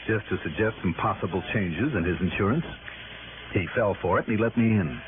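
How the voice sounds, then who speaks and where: A man's voice: lowish voice, fairly quick, natural voice. Guy acting a tough american radio detective, wry and persuasive, tinny noisy old broadcast sound. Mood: earnest, confiding. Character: tough, self-assured male private investigator defending himself. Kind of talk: 1960s radio drama acting.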